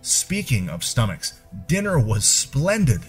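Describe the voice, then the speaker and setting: A man's voice: mid-range voice, huge pitch swings, unhurried. A man narrating a first-person story from a script, with formal, genteel storyteller phrasing. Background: music.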